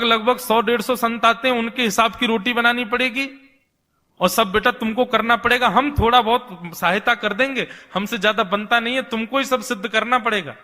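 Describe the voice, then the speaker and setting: A man's voice: extremely high pitch, pushed and bright. A man voicing a kindly, humble old householder in a devotional story, homely and fond in his way of talking. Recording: long hall reverb.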